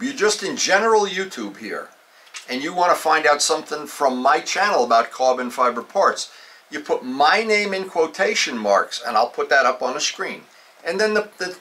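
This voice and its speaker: Older male host, bright fairly high voice, folksy rambling Q&A about motorcycles. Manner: rambling, self-correcting, folksy. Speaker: motorcycle restoration YouTuber. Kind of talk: casual Q&A to camera.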